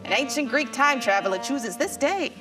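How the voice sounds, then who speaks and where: Actress as a chatty geek woman, highish voice, slangy and explainy, faint music under it, audio drama. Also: expressive, normal speed. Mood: playfully dramatic. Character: geeky, chatty modern woman explaining theater to Aristotle. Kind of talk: live stage audio drama acting.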